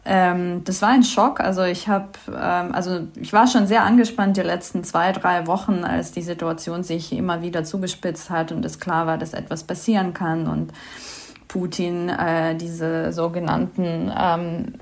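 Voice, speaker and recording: Low-voiced woman from Ukraine, halting. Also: level tone. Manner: halting, emotional, many fillers. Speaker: guest. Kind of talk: podcast interview.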